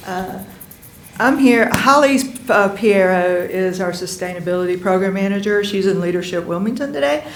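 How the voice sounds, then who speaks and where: A woman's voice: on the low side, echoey room, slight background noise. A woman on the utility staff presenting slides to the board, speaking casually with many fillers. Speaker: utility staff filling in for a manager.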